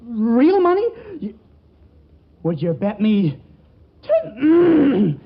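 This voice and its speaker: A very high-pitched young office man in a vintage radio comedy. He is honest, flustered and stammering, with a cheeky streak. The sound is a dull old broadcast. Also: wildly swooping pitch, extremely slow, vocal fry, breathy edge, exaggerated voice. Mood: nervously earnest. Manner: stammering, hesitant. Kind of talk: 1940s radio comedy acting.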